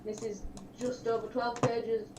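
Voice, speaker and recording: A woman's voice: a little high, little pitch movement, unhurried, dark tone, slight background noise. A woman co-hosting a casual live chat, explaining things informally. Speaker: co-host from the film production team. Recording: roomy, distant mic, voices around.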